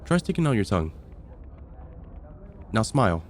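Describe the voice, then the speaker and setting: Low-voiced, composed vampire counselor played by a man. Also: big pitch swings, a little hiss or hum. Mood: clinical, reassuring. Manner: measured, clinical, procedural. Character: composed male vampire counselor, decades on the job, matter-of-fact. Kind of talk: ASMR roleplay.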